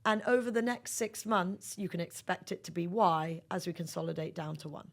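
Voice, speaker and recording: A woman with a fairly low voice and plenty of vocal fry speaks as an executive guest on an interview podcast. She explains her points emphatically, in a conversational, businesslike way. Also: moderate pace.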